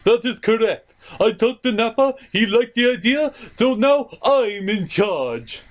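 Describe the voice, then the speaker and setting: A man's voice: very high voice, animated, a little slow. A man voicing a smug, self-important puppet sidekick in comedy banter. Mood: self-satisfied.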